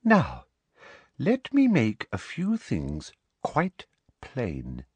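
A man's voice: mid-range voice, huge pitch swings, takes it slowly, a bit bright, dry recording, voice pushed up, heavily acted. A man voicing a smug, sickly-sweet middle-aged female teacher from a storybook, with a honeyed trill and a precise, dismissive manner. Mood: stern, smug. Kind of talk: audiobook character voice.

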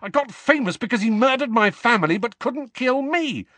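A teenage wizard boy, voiced by a man reading a storybook, insistent and quick to get heated. His pitch jumps around a lot as he argues. The recording is dry and close. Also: wildly swooping pitch, husky, no echo, exaggerated voice. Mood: indignant. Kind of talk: audiobook character voice.

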